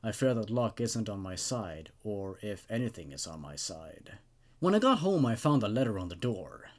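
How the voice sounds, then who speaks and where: A man's voice: fairly low pitch, very animated, moderate pace. A man hosting horror story readings, moving between narration and informal, casual commentary. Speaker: host narrating horror stories.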